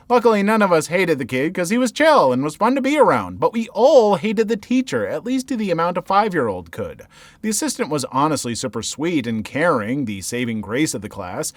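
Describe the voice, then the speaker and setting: A man's voice: fairly high pitch, no echo. A man reading viewer stories aloud as the channel's narrator, adding his own commentary between them. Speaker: story narrator.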